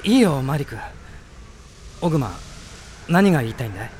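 Man acting a calm, earnest young prince. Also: medium pitch, a little hiss or hum, natural voice. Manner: soft-spoken, measured, earnest. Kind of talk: radio drama acting. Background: music.

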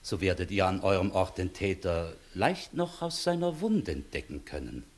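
Court inspector, male: probing, authoritative gentleman for classical radio comedy, slightly low register, extremely varied intonation. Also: sing-song. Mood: suspicious, wry. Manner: measured, probing, commanding. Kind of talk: 1960s German radio play acting, classical verse comedy.

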